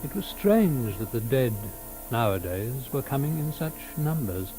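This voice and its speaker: Male storyteller for literary fiction: solemn, unhurried, soft dark tone. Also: mid-range voice, animated, faint music behind, poor audio. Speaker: narrator. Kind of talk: scripted literary story narration.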